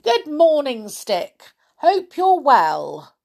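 Lady doing a high squeaky deaf old pots-and-pans man, mishears everything. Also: huge pitch swings, extremely slow, gravelly, darkish tone, heavily acted. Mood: cheerful.

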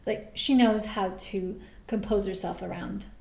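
A woman's voice: medium pitch, measured pace, creaky. Chatty woman in an echoey room. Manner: animated, laughs often, acts out quoted lines. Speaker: podcast co-host. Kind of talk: casual chat recapping a TV episode. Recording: roomy echoey sound.

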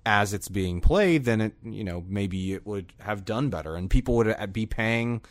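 Male panellist, slightly low voice, casual and halting delivery, very dry recording. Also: expressive, no echo. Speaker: podcast panellist. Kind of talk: casual podcast chat about video games.

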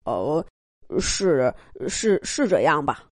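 A woman's voice: deep voice, sing-song, slow pace, no echo, studio quality, voice pushed down, exaggerated voice. Woman as a stammering, timid young boy rabbit. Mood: nervous, evasive. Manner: mumbling, stammering, hesitant, vague. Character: timid evasive young male grey rabbit, nervous and shifty.

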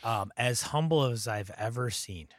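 A man co-hosting a podcast in casual chat, with a raspy voice and dry sarcastic humor. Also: mid-range voice, normal speed, no room sound. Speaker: podcast co-host.

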